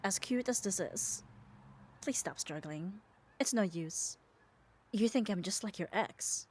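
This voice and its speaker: A woman's voice: mid-range voice, animated, a little slow, darkish tone, husky. A woman playing a muscular, possessive yet caring yandere in an ASMR roleplay, speaking with coaxing, tender firmness. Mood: firm, teasing. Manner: coaxing, firm, tender reassurance. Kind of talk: ASMR roleplay audio drama.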